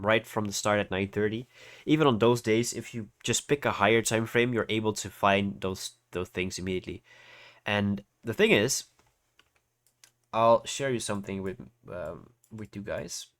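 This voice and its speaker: A man's voice: fairly low pitch, a bit bright. A man hosting a casual live tutorial, congested from hay fever, explaining informally and often checking that listeners follow. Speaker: trading livestream host and teacher. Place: voice-over on an online livestream.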